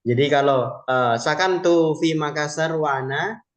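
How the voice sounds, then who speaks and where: A man teaching Arabic vocabulary in an online lesson, explaining slowly and patiently with many pauses and fillers. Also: a little high.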